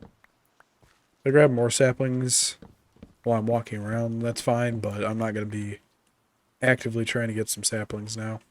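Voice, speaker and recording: A man streaming a video game, talking casually in a relaxed, rambling way with many fillers, his voice congested from a cold. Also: medium pitch, moderate pace, pauses often, a little hiss or hum. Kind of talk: casual gaming commentary.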